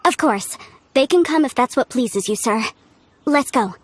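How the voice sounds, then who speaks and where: A woman's voice: high voice, animated, measured pace, a little hiss or hum. A woman playing an earnest scholar of archaeology in a video game, speaking courteously and carefully. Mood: polite, earnest. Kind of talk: scripted video game dialogue. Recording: music under the voice.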